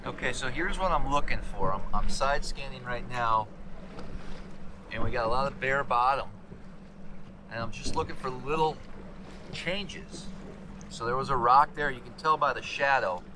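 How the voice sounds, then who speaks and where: Male fishing vlogger, forties, medium pitch, easygoing and halting delivery, clear noise from an open boat. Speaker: fishing vlog host. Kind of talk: casual chat, explaining to camera. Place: open boat deck on a lake, outdoors. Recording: outdoor camera audio with clear boat noise.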